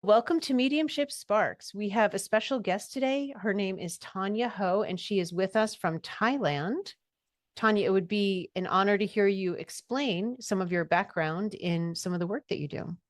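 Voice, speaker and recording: A woman hosting a spiritual podcast, gentle and earnest in how she talks. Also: mid-range voice, moderate pace, dry recording, studio quality. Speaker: podcast host and medium. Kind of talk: podcast interview.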